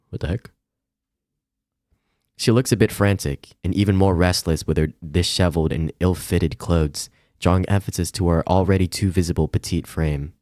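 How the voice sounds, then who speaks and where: A man's voice: low voice, a little mellow, dry recording, studio quality. A man streaming a visual novel, switching between casual chat and reading aloud, sometimes stumbling over lines. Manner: stumbles and comments while reading. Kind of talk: casual livestream chat and read-aloud narration.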